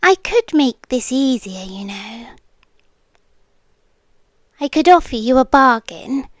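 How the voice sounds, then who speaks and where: A British woman playing a possessive, lonely immortal monster in an intimate ASMR roleplay, speaking deliberately and teasingly and often slipping into whispers. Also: high-pitched, no echo, studio quality, full character voice. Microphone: close microphone, dry.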